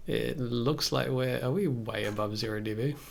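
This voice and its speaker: A man's voice: mid-range voice, moderate pace. A man in his thirties talking casually and hesitantly, thinking aloud as he works on a music production livestream. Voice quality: gravelly, creaky. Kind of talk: casual livestream commentary while working. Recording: faint noise, keyboard and mouse clicks.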